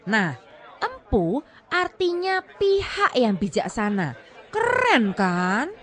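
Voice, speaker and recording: A woman hosting a talk show, speaking in a lively, expressive way. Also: a little high, slow pace, a faint crowd. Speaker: host. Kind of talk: lively talk-show banter and closing narration.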